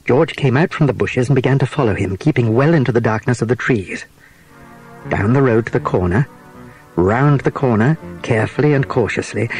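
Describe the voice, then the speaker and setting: A male narrator reads a children's story from a script. His voice sits at a medium pitch, with music faintly behind it. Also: darkish tone, husky, rough recording.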